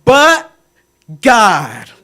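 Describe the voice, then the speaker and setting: A young man with a strikingly high voice performs spoken word poetry. His delivery is theatrical and impassioned, leaning hard on key phrases in the manner of a dramatic faith testimony. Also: wildly swooping pitch, very slow, bright, forceful tone. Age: 20s.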